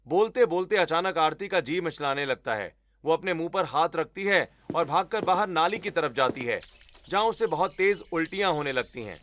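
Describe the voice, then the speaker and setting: Higher-pitched man narrating a story. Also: animated, normal speed, a bit bright.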